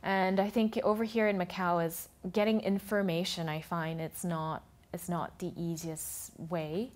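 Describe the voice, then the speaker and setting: Woman, lowish voice, talk-show guest, explanatory and halting. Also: little pitch movement. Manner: thoughtful, explanatory, speaks in halting restarts. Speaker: food and travel blogger, guest. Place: TV studio.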